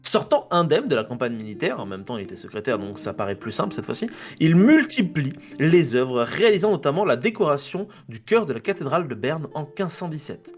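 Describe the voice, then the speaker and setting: A man's voice: fairly high pitch, big pitch swings, a little fast, few pauses, soft background music. French man reading history, trips over names. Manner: reads a text, stumbles on names, jokes and swears at himself. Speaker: podcast co-host presenting a history segment. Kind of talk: casual history podcast narration read from notes.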